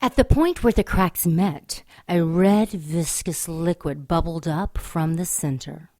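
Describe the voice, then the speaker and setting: A woman's voice: lowish voice, slow, a little mellow, no room sound. A woman playing the solemn storyteller of a supernatural audio drama, delivering scripted dramatic narration.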